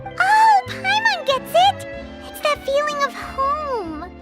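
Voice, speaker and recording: A woman voicing a tiny, excitable female fairy companion who talks about herself by name. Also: extremely high pitch, takes it slowly, exaggerated voice. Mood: curious. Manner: chirpy, refers to herself by name. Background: game music.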